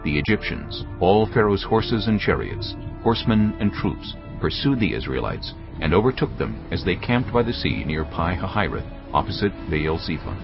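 Deep-voiced male Bible narrator. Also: very animated, vocal fry. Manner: measured, grave storytelling. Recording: dull sound under loud music.

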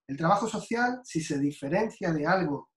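Man from Spain, guest lecturer, slightly high, speaks slowly with fillers; echoey room over a video call. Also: animated. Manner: slow and deliberate, many fillers and restarts.

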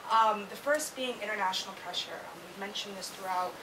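A woman in the audience asking a panel questions in a loud voice in a conference room. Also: mid-range voice, distant mic. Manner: loud, quick asides. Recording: off-mic, clear room noise.

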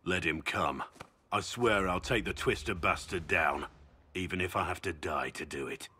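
Deep, solemn veteran warrior. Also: male voice, moderate pace, pushed and bright, slight background noise. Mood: grim resolve. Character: grave, resolute warrior, solemn loyal darkspawn hunter. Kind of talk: video game drama acting.

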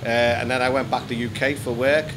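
A man's voice: mid-range voice, flat delivery, fairly quick. A British man in his fifties from England, chatting as an interview guest, telling stories and explaining things casually and repeating himself a little. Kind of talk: casual conversational storytelling. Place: small decorated indoor room. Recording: noisy room with background hum.

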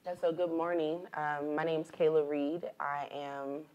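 Low-voiced young woman, composed and earnest. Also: steady tone, unhurried, a little mellow, muffled recording. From St. Louis, USA. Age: 20s. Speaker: activist panelist. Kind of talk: panel self-introduction. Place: large meeting room.

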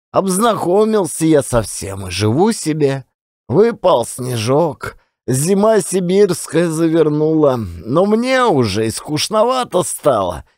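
A man's voice: a little high, a little mellow, dry recording, clean studio sound. A man performing a dramatized audiobook as a folksy Russian working man telling colloquial tales of his adventures. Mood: reflective, wistful. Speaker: audiobook narrator and actor. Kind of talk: one-man dramatized audiobook reading of classic fiction.

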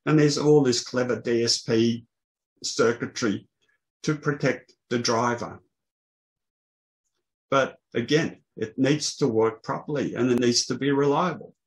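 An older man presenting technical material on a video call, explaining in a halting way. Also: medium pitch, low-quality recording. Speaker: presenter, loudspeaker engineer. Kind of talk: technical presentation to an online meeting. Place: small indoor room, online video call. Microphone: video call microphone.

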